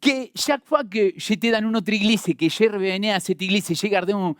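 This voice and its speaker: Argentine-accented pastor guy, fairly high voice, chatty sermon, dry close sound. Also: a little fast, dry recording. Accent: Argentine Spanish. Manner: conversational, addresses the congregation directly. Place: church hall.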